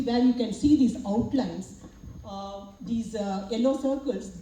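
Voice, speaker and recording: A woman's voice: fairly high pitch, warm tone. Indian woman lecturing in an echoey hall. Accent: Indian English. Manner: emphatic, rhetorical questions. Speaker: lecturer, researcher. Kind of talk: academic talk with Q&A. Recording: echoey hall, far from mic, faint noise.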